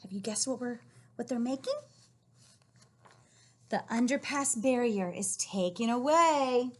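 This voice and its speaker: Female children's storyteller, high and breathy, expressive, encouraging, asks questions as she reads. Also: a little slow. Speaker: read-aloud storyteller teaching young children. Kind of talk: picture-book read-aloud with questions to children.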